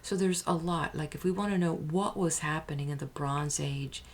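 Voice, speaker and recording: A woman hosting her own channel, talking casually and thoughtfully, with hesitations, restarts and soft near-whispered phrases. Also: low-pitched, normal speed. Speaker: audiobook channel host. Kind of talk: casual spoken introduction.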